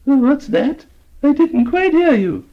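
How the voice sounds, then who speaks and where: A man puts on a shrill, cranky old woman who barely hears a word said to her. She speaks high above the narrator's own voice, suspicious and sharp. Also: big pitch swings, takes it slowly, dull audio, full character voice. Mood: puzzled. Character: cranky hard-of-hearing old lady customer.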